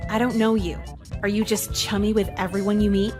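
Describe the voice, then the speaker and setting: A woman playing a sarcastic, contemptuous teenage girl in a visual novel game, with a deadpan, cutting delivery. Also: medium pitch, brisk, lightly acted. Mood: deadpan sarcasm. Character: sardonic teenage girl, contemptuous new kid at school. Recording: music behind the voice. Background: game music.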